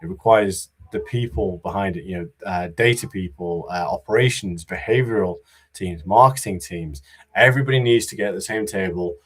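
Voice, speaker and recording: A man giving a conference talk about business readiness, in a monotone voice with many fillers and restarts. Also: low voice, normal speed, husky, low-quality recording. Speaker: conference speaker.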